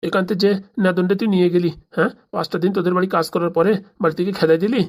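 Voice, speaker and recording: A woman's voice: lowish voice, fairly even intonation, racing, a little mellow, lightly acted. A woman voicing a pleading, complaining older village uncle and henpecked husband in a comic cartoon. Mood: questioning.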